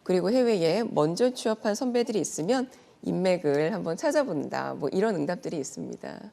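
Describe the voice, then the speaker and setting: A woman's voice: mid-range voice, gravelly, dark tone. A woman speaking as an expert guest in a news segment, explaining things politely and point by point in a prepared, organized way.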